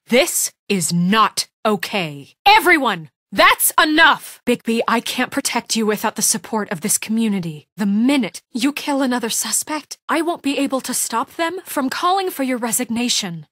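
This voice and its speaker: A woman's voice: a little high, a bit bright, no echo, studio quality, heavily acted. An actress playing an authoritative, exasperated woman leader in a video game drama, speaking firmly and earnestly. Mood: exasperated, alarmed, then stern, insistent. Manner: firm, earnest, insistent, often exasperated. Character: authoritative, exasperated woman leader of a troubled fairy-tale community. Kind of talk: dramatic video game dialogue, naturalistic acting.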